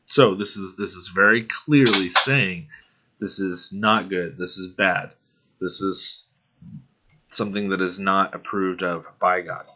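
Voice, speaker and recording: Young male host, casual Bible-study walkthrough, bright lowish voice, throat-clearing, unhurried. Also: fairly even intonation. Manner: unhurried, often clears his throat, says um.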